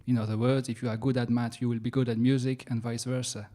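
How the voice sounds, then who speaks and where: A young man from France with a French accent, doing a science stand-up comedy set in a self-mocking, halting way with playful asides. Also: mid-range voice, little pitch movement, warm tone. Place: comedy venue stage.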